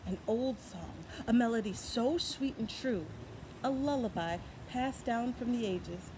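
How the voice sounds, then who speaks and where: A woman telling a children's story aloud, expressive and folksy, now and then adding a comment of her own. Her voice sits a little high. Steady background noise and the sound of passing vehicles run under the reading. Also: unhurried.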